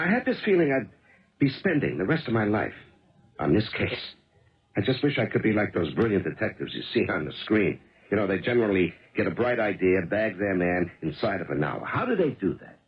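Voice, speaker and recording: An American actor playing a plodding, plain-spoken homicide detective and husband in a 1970s radio drama. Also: male voice, fairly low pitch. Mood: wry, chatty. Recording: muffled old radio broadcast.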